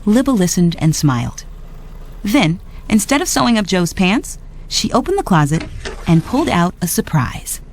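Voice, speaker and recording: A woman narrating a read-along storybook in an expressive, scripted storytelling style. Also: fairly low pitch, moderate pace, a little hiss or hum. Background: music.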